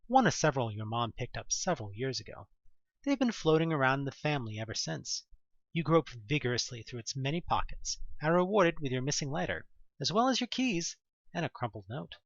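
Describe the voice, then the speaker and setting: A man reading a scripted second-person story in a theatrical, expressive narrator's manner. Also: on the high side, no echo, highs cut off.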